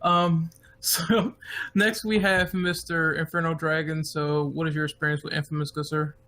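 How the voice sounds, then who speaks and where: Male podcast host, higher voice, bright tone, upbeat, introduces guests in turn. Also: level tone, moderate pace. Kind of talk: casual podcast hosting.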